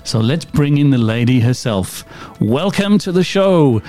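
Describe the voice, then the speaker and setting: A man's voice: medium pitch, animated, measured pace, warm tone, soft background music. A man hosting an interview podcast, reading an enthusiastic scripted introduction before chatting with his guest. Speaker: podcast host.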